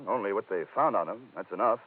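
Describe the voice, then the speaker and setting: A man's voice: on the low side, fast talker, slightly rough. An American man playing an anxious, earnest defense attorney in a 1950s radio drama, urgent and defensive in manner. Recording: tinny, hissy 1950s radio recording.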